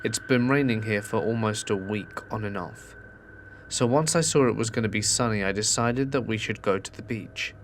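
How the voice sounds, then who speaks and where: A man narrating true ghost stories in a steady storytelling delivery, reading submitted accounts. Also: lowish voice, a little hiss or hum.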